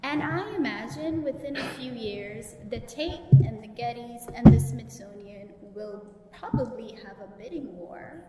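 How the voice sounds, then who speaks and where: A woman's voice: a little high, lively intonation, measured pace, few pauses, dark tone, soft background music. A woman with a Guyanese accent, speaking at a public panel talk in a gallery, telling stories and laughing easily. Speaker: panelist and professor. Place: gallery event space. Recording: echoey gallery room with long reverb.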